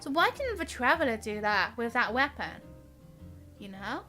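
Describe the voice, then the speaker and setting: A woman chats casually as she streams a game. Her voice is high and bright, rising and falling in an animated, giggly ramble. Soft game music plays behind her. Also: moderate pace. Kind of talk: casual live game commentary.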